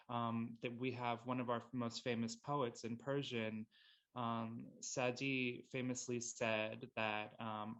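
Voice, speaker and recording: A man speaks reflectively and hesitantly on a panel, with a flat, gravelly voice. The audio is dull and lo-fi. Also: mid-range voice. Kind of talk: online panel talk.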